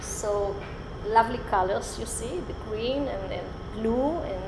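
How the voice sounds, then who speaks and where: A woman's voice: on the high side, a little slow. A middle-aged Israeli woman, a Hebrew speaker, giving an unprepared guest lecture in English with many "uh" fillers, filmed by a far camera in a lecture room. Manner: animated, gestures a lot, many "uh" fillers. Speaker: college lecturer. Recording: roomy hall with background noise.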